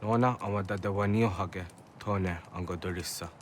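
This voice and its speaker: A man's voice: deep voice, steady tone, normal speed, a little hiss or hum, natural voice. An actor playing a village man in a dramatic scene from a historical video game. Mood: serious.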